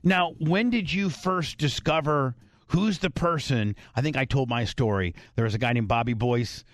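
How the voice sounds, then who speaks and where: A man's voice: mid-range voice, moderate pace, no room sound. Man, podcast host, casual chat, profane, joking, dry sound.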